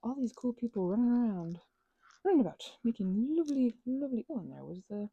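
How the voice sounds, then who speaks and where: A woman rambling playfully in casual ASMR craft chat, scatterbrained and often interrupting herself, in a husky, breathy voice that often drops toward a whisper. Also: a little high, very animated, brisk, dark tone, no echo, muffled recording. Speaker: ASMR creator.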